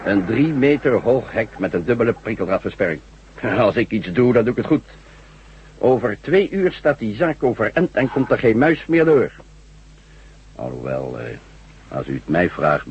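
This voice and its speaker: A gruff sheriff who stolidly follows orders. His voice is extremely husky and on the low side, with an official, matter-of-fact delivery. The radio play recording sounds muffled. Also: lively intonation, moderate pace, heavily acted. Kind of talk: radio drama acting in Dutch.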